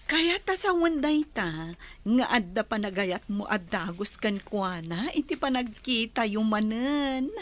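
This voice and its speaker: A woman's voice: a little high, very animated. A woman playing a teasing, meddling cousin and confidante in an audio drama. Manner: teasing, drawn-out delivery.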